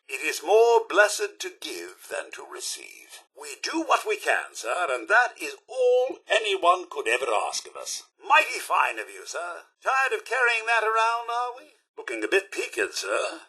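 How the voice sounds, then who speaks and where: Robot butler, British accent, wildly animated pitch, raspy edge, prim, fussy, polite, game character. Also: male voice, a little slow, hardly any pauses, heavily acted. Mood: solicitous. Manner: prim, theatrical, clipped politeness. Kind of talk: video game character voice lines.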